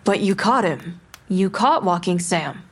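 A woman's voice: mid-range voice, lively intonation, measured pace, husky. A woman playing a concerned young person at home with a detective parent in an audio drama. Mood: gentle concern.

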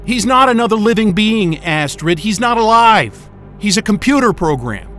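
A man's voice: high-pitched, big pitch swings, normal speed, fairly bright tone, soft background music. An actor playing a frantic, exhausted and angry station crewman in a science-fiction audio drama, agitated, sweary and stammering. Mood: defiant, angry.

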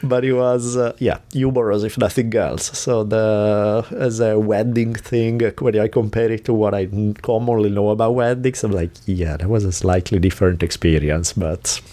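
A man's voice: fairly low pitch, fairly even intonation, moderate pace, few pauses, warm tone. Italian-accented man telling a story. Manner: laughs often while telling a story. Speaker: podcast host. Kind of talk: casual storytelling chat.

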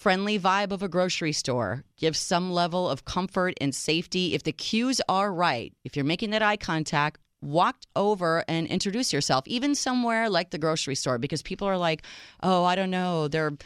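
A woman co-hosting a radio talk show with a low voice, chatting in a lively, playful way. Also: moderate pace, smooth, no echo, studio quality. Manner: lively, playful, laughs easily. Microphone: studio microphone.

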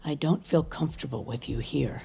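A woman's voice: deep voice, moderate pace, creaky, mellow. An actress playing a cold, guarded older mother in an audio drama. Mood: cold, fearful.

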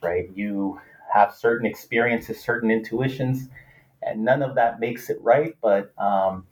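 A man with a lowish voice talks through his research in an interview, thoughtful and unhurried in thought, pausing on fillers and restarting phrases as he works out each point. He grew up in Los Angeles, and Spanish was his first language. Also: rough recording. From Los Angeles, United States. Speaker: author, political scientist. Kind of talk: academic interview.

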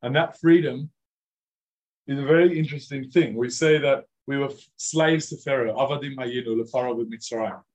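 A man's voice: mid-range voice, normal speed, a little mellow, rough recording. A man who is a rabbi giving an informal lecture to a live group of students. He talks casually, fills his sentences with "like" and keeps asking the room questions. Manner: casual and chatty, many "like" fillers, questions to the audience. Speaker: rabbi teaching a class.

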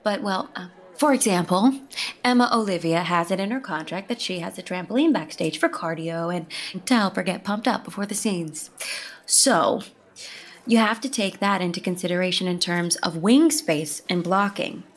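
An actress playing an earnest young stage director who is chatty and tactful in a scripted comedy. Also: female voice, lowish voice, animated, normal speed. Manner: chatty and diplomatic. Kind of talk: scripted audio comedy acting.

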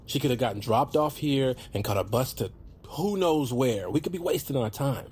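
A man's voice: mid-range voice, lively intonation, moderate pace, a little mellow, a little hiss or hum, lightly acted. An actor playing a skeptical man on a stakeout, arguing casually in a naturalistic audio drama. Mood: doubtful, nagging. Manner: casual, argumentative.

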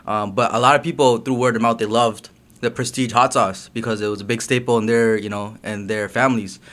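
A young man from Guyana, a guest talking about his business in a relaxed, casual way, full of "um" and "you know". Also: mid-range voice, fairly quick. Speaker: guest, hot sauce business owner. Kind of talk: casual interview chat.

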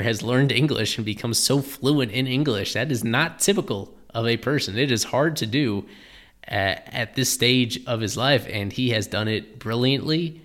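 A man giving analytical commentary on a baseball pitcher, thoughtful and explanatory, often restarting his phrases. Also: a bit bright.

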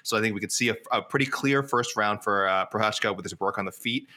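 A man's voice: on the low side, fast, bright, forceful tone. A man talking casually as a sports panelist, rambling a bit and correcting himself as he goes. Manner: hesitant, rambling, self-correcting delivery. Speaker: panelist on an MMA preview show. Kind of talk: casual sports discussion, fight prediction.

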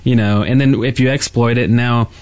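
A man's voice: medium pitch, fairly even intonation, brisk, darkish tone. A man talking casually as a co-host in a gaming podcast discussion.

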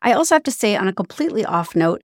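A woman's voice: mid-range voice, sing-song, very fast. A woman hosting a podcast interview, chatty and affirming. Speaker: podcast host. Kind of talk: casual interview chat.

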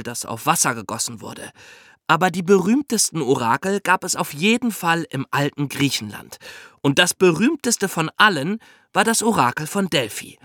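A man co-hosting a podcast, asking questions and explaining history in a clear conversational narration. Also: fairly high pitch, a bit bright, no room sound, studio quality. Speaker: podcast co-host.

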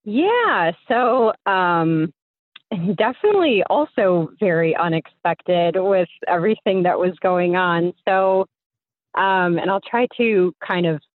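A woman's voice: on the low side, expressive, slow pace, no echo. Over a phone call, a young American woman tells a personal story casually, in a chatty, hesitant way. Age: young adult. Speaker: phone-in guest. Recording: narrow tinny phone line.

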